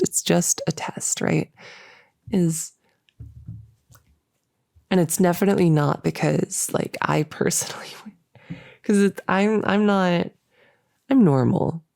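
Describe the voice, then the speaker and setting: Woman acting a flustered, soft, low-voiced cultist girl. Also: creaky, dry recording, clean studio sound. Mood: shy, hesitant, then defensive, flustered. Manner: flustered, halting, stammering, rambling. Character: nervous, lonely, bossy-but-gentle female cultist demon summoner. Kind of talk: intimate roleplay audio drama, sleep-aid ASMR. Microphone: close, dry microphone.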